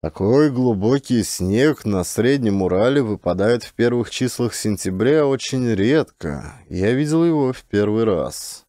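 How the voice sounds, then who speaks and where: A man's voice: mid-range voice, lively intonation, unhurried, hardly any pauses, dark tone, dry recording, clean studio sound. A man reading a scripted literary story as an audiobook narrator.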